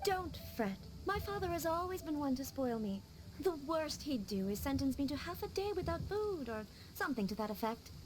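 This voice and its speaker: A woman's voice: high voice, big pitch swings, moderate pace, noisy background. A woman playing a composed, gracious young noblewoman in a video game, speaking formally and politely. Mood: calm resolve.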